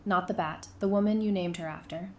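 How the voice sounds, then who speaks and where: A woman's voice: on the low side, fairly even intonation, a little fast, a little mellow, lightly acted. A woman playing a guarded, dry-witted therapist in an audio drama, blunt and challenging with a prisoner. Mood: probing, guarded.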